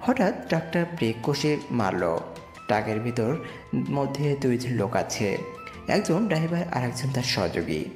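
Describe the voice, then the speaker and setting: Male storyteller for audio drama: lively melodic swings, scripted first-person narration, heavy reverb. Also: medium pitch, unhurried. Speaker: narrator and lead character in an audio love story. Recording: echoey reverb with music behind. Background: music.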